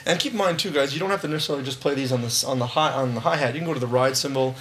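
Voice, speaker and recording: A man's voice: medium pitch, rapid-fire, a bit bright, a little hiss or hum. A man in his thirties teaching a live drum lesson on a studio stage, upbeat, counting rhythms aloud, and losing his voice.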